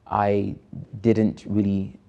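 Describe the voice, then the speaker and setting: A man's voice: fairly low pitch, monotone, drawn-out words, vocal fry, warm tone, smooth, dull audio. A man in his twenties, a film director, talking reflectively about his past in an interview, hesitating and restarting often. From Nigeria. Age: 20s. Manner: hesitant, many restarts and "you know".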